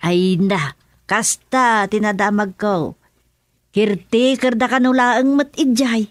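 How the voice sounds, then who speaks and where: A woman's voice: mid-range voice, slow, dry recording, lightly acted. Gossipy village wife played by a woman, dry sound. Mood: gossiping eagerly. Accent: Ilocano Filipino. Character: gossipy village wife, inquisitive and eager to share rumors. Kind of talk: Ilocano radio comedy drama acting.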